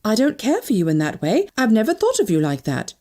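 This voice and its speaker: A woman reader voicing an impulsive, soft-hearted young woman with an earnest, emotional manner in an audiobook. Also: a little high, wildly swooping pitch, fairly quick, voice pushed up. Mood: flustered. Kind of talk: audiobook character dialogue.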